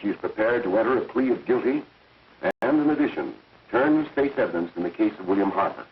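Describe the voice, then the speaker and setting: An actor playing a formal defence lawyer in a 1930s film, pleading in an oratorical manner. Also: male voice, on the low side, expressive, fairly quick, natural voice. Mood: earnest, persuasive. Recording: dull noisy 1930s film soundtrack.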